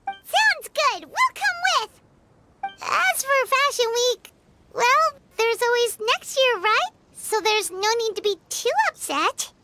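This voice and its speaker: A woman voicing a small, perky, naive fairy companion in a video game, with a squeaky childlike voice and excitable manner. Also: takes it slowly, heavy background noise, exaggerated voice. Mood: cheerful. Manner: excitable, speaks of self in third person. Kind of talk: animated video game voice acting. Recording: loud background music.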